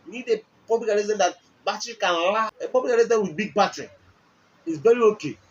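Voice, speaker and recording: A man's voice: high-pitched, very fast. A man with a Nigerian accent presenting a product explainer, emphatic and repetitive, often checking with the listener through questions. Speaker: music equipment dealer and presenter. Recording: dull lo-fi audio, faint background noise.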